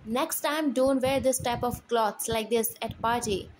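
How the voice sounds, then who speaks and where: A woman's voice: on the high side, a little slow, darkish tone, slight background noise, close to an everyday voice. A woman voicing a strict young husband who gives curt orders. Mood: stern. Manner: curt, commanding.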